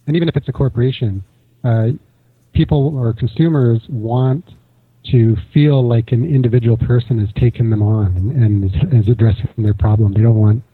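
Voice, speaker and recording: A man co-hosting a podcast in a measured way, asking the guest follow-up questions. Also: mid-range voice, fairly even intonation, slightly rough, no echo. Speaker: podcast co-host. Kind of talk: casual podcast chat. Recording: tinny phone-like line.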